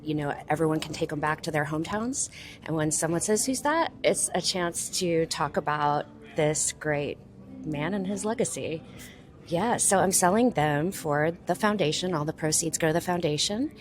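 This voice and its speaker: A woman's voice: low-pitched, normal speed, soft background music. A woman answering interview questions conversationally, as a vendor and writer at a convention.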